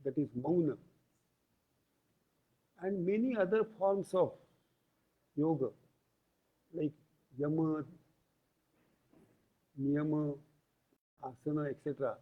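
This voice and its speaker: A man giving an explanatory lecture, deliberate and pausing between short phrases. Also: fairly high pitch. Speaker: yoga lecturer. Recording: tinny, phone-like band-limited audio.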